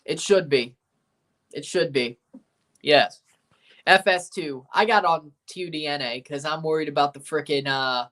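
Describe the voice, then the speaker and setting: A man's voice: fairly high pitch, pushed and bright. A young man hosting a live sports watchalong stream, reading chat aloud and giving opinionated takes. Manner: reads out team lineups. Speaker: livestream host reading chat.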